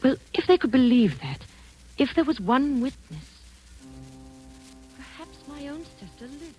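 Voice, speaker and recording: An actress playing an earnest, compassionate woman in 1940s radio style, soothing as she gently presses with questions. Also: high-pitched, big pitch swings, unhurried, faint music behind, low-quality recording. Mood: gentle probing. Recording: vintage 1940s radio broadcast.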